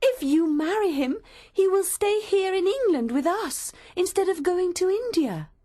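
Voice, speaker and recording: A woman's voice: very high voice, animated, measured pace, dark tone, no room sound, clean studio sound, voice pushed up. A woman reading a story aloud and voicing an eager, caring young woman. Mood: excited.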